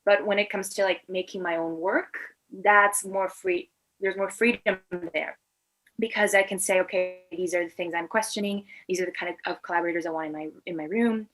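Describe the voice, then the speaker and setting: A woman's voice: on the low side, fairly even intonation, a little fast, a bit bright, poor audio. A woman in her twenties from Venezuela, a native Spanish speaker, answering interview questions conversationally. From Venezuela and Latin America. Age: 20s. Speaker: interview guest, actor and filmmaker.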